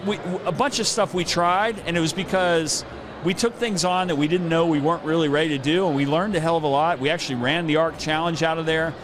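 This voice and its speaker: A man's voice: fairly high pitch, fairly even intonation, fast, noisy background. A middle-aged man hosting a podcast interview in a casual, informal, anecdotal way. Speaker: podcast host. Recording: outdoor event with clear crowd din.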